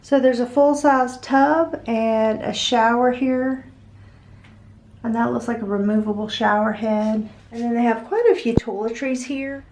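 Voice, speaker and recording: A woman vlogging a walk-through of a hotel suite, chatty and upbeat, her voice a little raspy after an overnight flight, recorded on the filming camera indoors. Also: fairly high pitch, fairly even intonation, unhurried, darkish tone, slight background noise. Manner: chatty, upbeat, tour-guide pointing out details. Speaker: travel vlogger. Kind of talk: casual hotel tour vlog.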